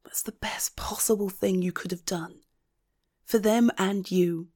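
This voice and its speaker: A woman acting as a loyal, protective friend in an intimate audio roleplay, speaking earnestly and emotionally with halting, emphatic phrasing. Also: medium pitch, fairly even intonation, a little mellow, lightly acted. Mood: gentle, reassuring.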